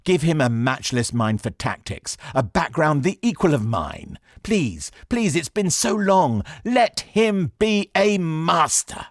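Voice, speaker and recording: A man's voice: fairly high pitch, very animated, slow, hardly any pauses, no room sound, studio quality, pitched above the performer's own voice, full character voice. A man voicing a proud, earnest swordsman in an audiobook, intense, impassioned and courteous. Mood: fervent yearning. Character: proud, earnest swordsman, male, yearning for a challenge.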